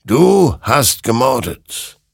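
A man's voice: medium pitch, big pitch swings, slow, vocal fry, fairly bright tone, slightly rough, no echo, voice pushed up, heavily acted. A man voicing a cold, all-knowing spectral rider of death in a story, speaking in solemn, commanding pronouncements. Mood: accusing.

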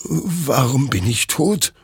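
A man's voice: medium pitch, animated, slow pace, breathy edge, dry recording, clean studio sound, voice pushed up, exaggerated voice. A man voicing a frightened, battle-weary soldier in a dramatic story, agitated and pleading, sometimes stammering. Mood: frightened, bewildered.

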